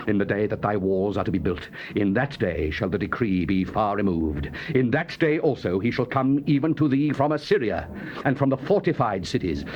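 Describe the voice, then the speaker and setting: A man giving a solemn, declamatory dramatized reading of the King James Bible. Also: fairly low pitch. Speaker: dramatic scripture reader. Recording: dull, noisy old cassette tape recording.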